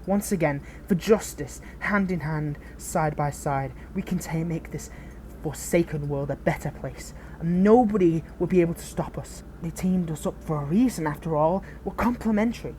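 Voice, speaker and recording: A woman's voice: deep voice, dark tone, slight background noise, lightly acted. A woman playing a betrayed former hero turned villain in an audio roleplay, speaking earnestly and intimately, with idealism and bitterness. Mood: determined, persuasive. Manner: earnest, impassioned, intimate address to a partner. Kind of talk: dramatic audio roleplay monologue.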